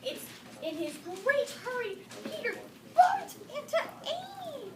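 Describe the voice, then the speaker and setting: A woman teacher reading a picture book aloud to young children, expressive, pausing to explain words and ask questions. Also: extremely high pitch. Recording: echoey noisy room from far off.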